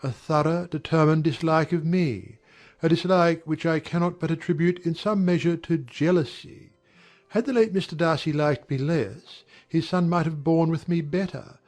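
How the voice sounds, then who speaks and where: An actor playing an aggrieved young gentleman in a dramatized audiobook, speaking in formal, measured, earnest period language. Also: a little high, lightly acted. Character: young gentleman airing polite, wounded grievances. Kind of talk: dramatized audiobook character acting.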